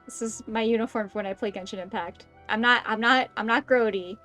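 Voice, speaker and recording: Casting: female game streamer, fairly high voice, casual and jokey live commentary with soft music behind. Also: steady tone, fairly quick. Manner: playful, joking, reacting aloud.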